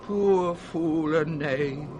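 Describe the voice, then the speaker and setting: An actor playing an aging, tormented king sliding into madness, with a grand British declamatory delivery in a Shakespearean radio play. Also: darkish tone. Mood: broken, tender. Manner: grand, declamatory, slow and weighty. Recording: noisy 1960s radio recording, storm-like rumble.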